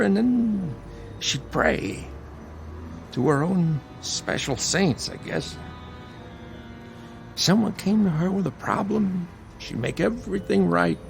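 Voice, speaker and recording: A streetwise older brother in a radio drama, battered but protective. His voice is a little high for a man, with a gravelly crackle and lively swings in pitch. He wisecracks in a halting, conversational way, with music underneath. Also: full character voice.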